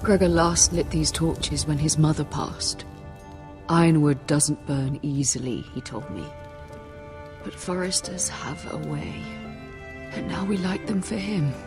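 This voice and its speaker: Middle-aged noble matriarch, low, measured, grave; fantasy game drama over music. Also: female voice. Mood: solemn, tender. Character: grave noble mother, lady of a northern house. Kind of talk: dramatic video game acting. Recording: music under the voice.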